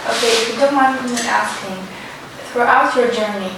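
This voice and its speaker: A woman asks polite, formal interview questions in a slightly high voice. She sounds set back from the mic in a very echoey room, with noticeable background noise. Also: moderate pace, distant mic.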